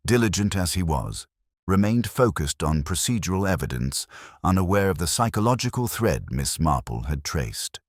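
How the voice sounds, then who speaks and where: A man narrating a scripted mystery story in a measured, formal storytelling manner. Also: deep voice, dry recording, clean studio sound. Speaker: audiobook narrator.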